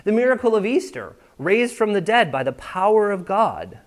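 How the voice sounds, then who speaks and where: Lively, conversational male preacher. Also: high voice, normal speed. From United States. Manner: conversational, checks in with listeners often. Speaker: pastor. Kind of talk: sermon. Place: church sanctuary.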